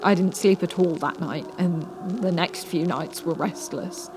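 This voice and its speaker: Slow, low-toned woman reading scary stories. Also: background noise. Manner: measured, with dramatic pauses. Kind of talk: slow scary story narration. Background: music.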